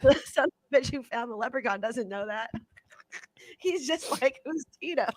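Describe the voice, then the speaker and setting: A woman's voice: a little high, lively intonation, normal speed, no echo. Giggly woman with a cough. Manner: giggly, playful, teasing. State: has a cough. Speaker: co-host. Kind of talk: casual live-stream chat. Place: room at home. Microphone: handheld mic.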